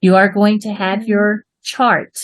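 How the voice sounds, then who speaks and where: A woman with a Texas twang teaches children over a video call, upbeat and encouraging. Her voice has a mid pitch and sounds close and dry, with no room around it. She likes playful, folksy rhyming goodbyes. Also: level tone, slow pace, no echo. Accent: Texan. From Texas, United States. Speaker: English writing teacher. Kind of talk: online class instruction.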